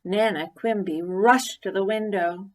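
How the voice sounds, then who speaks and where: A woman reads a picture book aloud in a medium-pitched voice. She takes it very slowly and deliberately, pausing to give words emphasis in an expressive storytelling style. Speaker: story reader.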